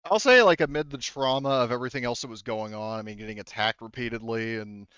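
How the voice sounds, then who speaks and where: A man running a tabletop roleplaying game as game master, narrating off the cuff in a halting, rambling, self-correcting way. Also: mid-range voice, a bit bright, clear, resonant tone, dry recording, highs cut off. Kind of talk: improvised game narration, casual chat.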